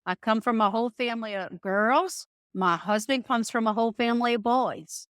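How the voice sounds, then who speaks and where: Chatty New Orleans woman, fairly high. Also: moderate pace, a bit bright, clear, resonant tone, no room sound, clean studio sound. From New Orleans, Louisiana. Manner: folksy, chatty storyteller. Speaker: guest, restaurant owner. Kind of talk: casual interview chat.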